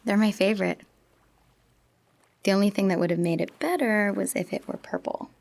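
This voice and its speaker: A woman playing a wistful, teasing young woman in love in an audio drama, with soft hushed moments. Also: medium pitch, normal speed, vocal fry, no room sound. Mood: awed, dreamy. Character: wistful, teasing teenage girl in love, remembering. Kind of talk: audio drama acting, intimate monologue and dialogue.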